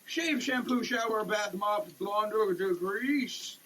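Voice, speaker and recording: A man giving a comedic dramatic reading of a product label, theatrical and over-emphatic, with halting delivery. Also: high-pitched, fairly bright tone, a little hiss or hum. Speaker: comedic performer.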